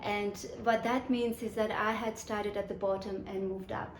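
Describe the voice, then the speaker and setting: Measured, thoughtful woman in her forties. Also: mid-range voice, steady tone, echoey room, a little hiss or hum. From Kazakhstan. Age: 40s. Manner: measured, thoughtful, many ums and restarts. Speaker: guest, UN section chief. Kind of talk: interview answers.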